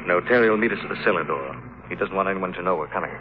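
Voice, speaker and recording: A man playing a brisk gentleman sleuth. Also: deep voice, a little hiss or hum, lightly acted. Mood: assured. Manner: brisk, assured, polished. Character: calm, confident gentleman detective. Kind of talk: 1930s radio drama acting. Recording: tinny old radio broadcast.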